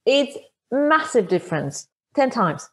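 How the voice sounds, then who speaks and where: A woman's voice: on the high side, big pitch swings, slow. A woman in her thirties, a native Polish speaker with a Polish accent, chatting casually as an interview guest, laughing easily and restarting her sentences. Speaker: interview guest, motorcycle traveler.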